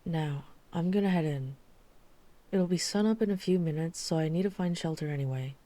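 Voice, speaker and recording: A woman playing a guarded, weary vampire hunter who is herself a vampire, speaking in a measured, serious way in an ASMR roleplay. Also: lowish voice, warm tone, natural voice. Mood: grave, resolute. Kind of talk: ASMR roleplay audio drama acting.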